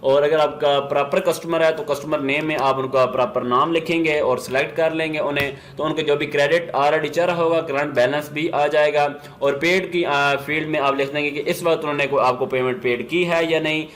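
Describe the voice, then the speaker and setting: A man with a Pakistani accent presenting an instructional software demo, explaining step by step and mixing in English business terms. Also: a little high, steady tone, brisk, few pauses.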